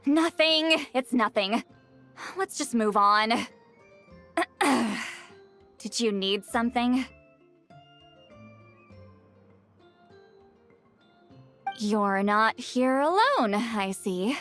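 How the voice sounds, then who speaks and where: A prickly, self-conscious game character in a high, very animated voice. She is huffy and dry, easily embarrassed, with music underneath. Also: slow. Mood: composed.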